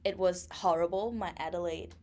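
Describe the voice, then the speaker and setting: A woman's voice: lowish voice, steady tone, moderate pace, darkish tone. A young woman from Thailand, co-hosting a casual podcast and telling her story with many fillers and self-corrections. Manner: frequent fillers and self-corrections, laughs easily. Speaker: podcast co-host.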